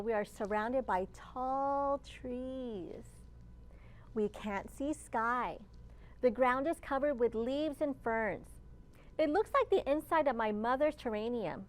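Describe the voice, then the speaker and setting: High-voiced woman reading slowly to children. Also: slight background noise. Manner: slow, deliberate phrasing, addressing young listeners with questions. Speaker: children's librarian reading storytime. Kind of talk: read-aloud picture book storytime for young children.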